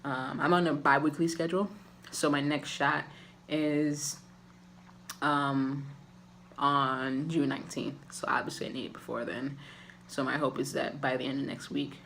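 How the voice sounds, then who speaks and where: Raspy, gravelly-voiced man vlogging. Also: on the high side, a bit bright, slight background noise. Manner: candid and open, many filler ums. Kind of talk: casual personal update to camera.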